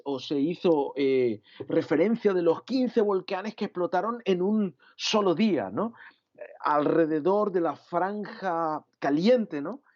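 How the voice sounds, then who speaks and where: A Venezuelan man preaching a sermon in a reflective way, often pausing with "eh" and adding "¿no?" at the end of his phrases. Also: high voice, darkish tone, highs cut off. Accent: Venezuelan Spanish. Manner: reflective, frequent "eh" and "¿no?" tags. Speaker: pastor.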